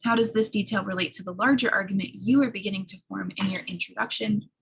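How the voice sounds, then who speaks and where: A woman who sounds a little hoarse, giving an explanatory college writing lecture in an instructional way, often checking in with short tag questions. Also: mid-range voice, steady tone, fast, fairly bright tone, rough recording. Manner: instructional, frequent checking tags like "right?". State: slightly hoarse.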